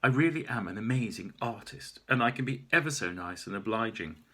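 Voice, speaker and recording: A man's voice: lowish voice, animated, a little fast, pushed and bright, husky, full character voice. A man voicing a volatile, deranged young woman artist who threatens and taunts. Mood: taunting.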